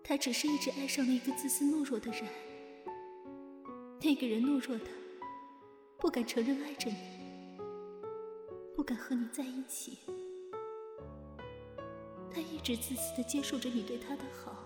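An actress playing an anguished young bride in an audio drama, high-voiced and emotional. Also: female voice, normal speed, pauses often, close to an everyday voice. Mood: guilty, confessing. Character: anguished young bride defending the friend she loves. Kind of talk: modern Chinese audio drama acting. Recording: echoing hall, music behind.

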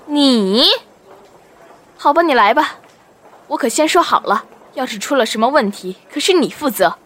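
An actress playing a bossy, pragmatic restaurant boss in a Mandarin radio drama. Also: female voice, high voice, expressive, normal speed, fairly bright tone. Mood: exasperated. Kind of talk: Mandarin audio drama acting.

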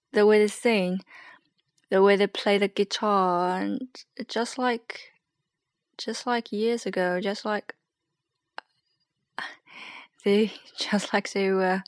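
Young woman, Chinese accent, slow unhurried talk, rambling and hesitant, dry close sound. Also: medium pitch, no echo. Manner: hesitant, rambling, soft and sleepy. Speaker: podcast host. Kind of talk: late-night solo podcast chat.

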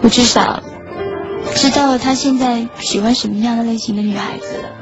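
A woman's voice: fairly high pitch, fairly even intonation, fairly quick, natural voice. A woman playing a lovelorn schoolgirl in a radio drama, narrating her heartbreak in a wistful, earnest way. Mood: resigned sadness. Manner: wistful, earnest, softly self-effacing. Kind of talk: Chinese radio drama acting with inner monologue. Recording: dull lo-fi audio under clear music.

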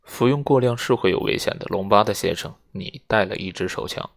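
A man voicing an old judge who speaks calmly, measured and in command, in an audiobook. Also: on the low side, gravelly. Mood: stern. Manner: calm, measured, authoritative. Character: old male judge, icy calm and in command.

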